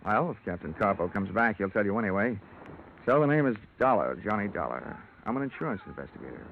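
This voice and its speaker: An actor playing a wry, hard-boiled insurance investigator, deadpan and wisecracking, in an old-time radio detective drama. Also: male voice, lowish voice, fast, creaky, slight background noise. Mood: cool, dry. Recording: tinny vintage radio recording.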